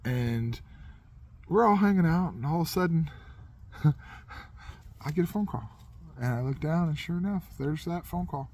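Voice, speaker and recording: A man's voice: on the high side, lively intonation, unhurried, long pauses, darkish tone. A man casually telling a comedic anecdote in a rambling, self-deprecating way, as a podcast host would.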